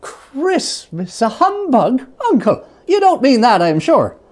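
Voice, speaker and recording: Bright eager young guy, raised high and lively, a bit husky, storyteller doing the voice. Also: normal speed. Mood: cheerful. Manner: bright, eager, coaxing delivery. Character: cheerful young man, warm-hearted and pleading. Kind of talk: storybook reading.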